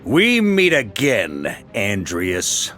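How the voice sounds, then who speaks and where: A seasoned knight commander in a fantasy game. His voice sits low and moves expressively, with an easy confidence and natural authority. He is middle-aged and sounds used to leading. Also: huge pitch swings, extremely slow, a bit bright, soft background music, full character voice. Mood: grave, wary. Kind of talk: video game voice acting.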